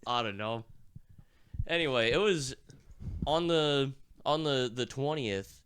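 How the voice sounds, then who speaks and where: A young man with a sick, rough voice, co-hosting a podcast in rambling casual chat, swearing and laughing often. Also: medium pitch, slow, a bit bright, slight background noise. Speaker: podcast co-host.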